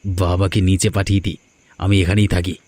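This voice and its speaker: A man's voice: low voice, flat delivery, fairly quick, a little mellow, no room sound. A man voicing a sly young thieving son. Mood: scheming. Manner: stammers when flustered. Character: scheming young male son, sly thief. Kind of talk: Bengali cartoon dubbing.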